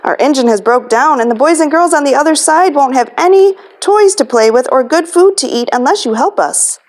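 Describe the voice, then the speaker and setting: A woman's voice: sits high, animated, a little fast, pitched above the performer's own voice. A woman voicing a group of little storybook dolls and toys, politely pleading for help. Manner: pleading, imploring.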